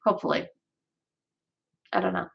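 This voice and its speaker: A woman with a Southern US accent, chatting casually and rambling on a livestream, with lots of fillers and restarts. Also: moderate pace. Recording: dull lo-fi sound.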